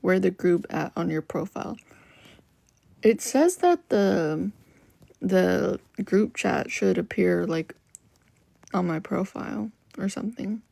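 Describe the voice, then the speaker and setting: A young woman with a lower voice chatting casually with viewers on a livestream, loose and full of "like" fillers. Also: lively intonation, slow pace, vocal fry, long pauses, darkish tone, no echo. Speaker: livestream host. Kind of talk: casual live-stream chat with viewers.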